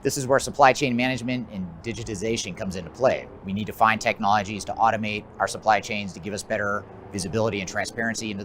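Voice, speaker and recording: An American man giving a measured, methodical explanatory presentation as a business consultant, his phrases often trailing off at the end. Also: on the low side, fairly bright tone, slight background noise. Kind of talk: explanatory presentation of a trends list.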